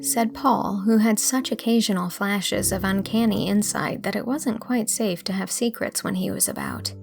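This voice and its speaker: A woman's voice: medium pitch, moderate pace, creaky, a little mellow, soft background music. A woman reading scripted audiobook narration as a storyteller.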